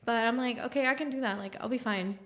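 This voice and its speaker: A woman's voice: on the high side, fast. A woman in her late twenties, a podcast guest, chatting frankly and animatedly. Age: late 20s. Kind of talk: casual chat.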